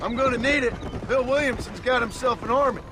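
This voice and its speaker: Weary male gunslinger, American Western accent, heavy vocal fry, terse, sardonic, stern, game cutscene, noisy background. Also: very high-pitched, a little fast. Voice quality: gravelly. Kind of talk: video game cutscene acting. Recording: noisy game effects behind.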